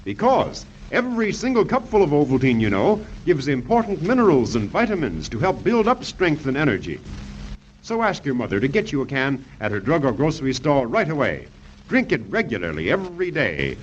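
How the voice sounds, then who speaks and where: An American man announcing a 1930s children's radio show, pitching emphatically to young listeners. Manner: addresses children, emphatic salesmanship. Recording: muffled, noisy 1930s radio recording.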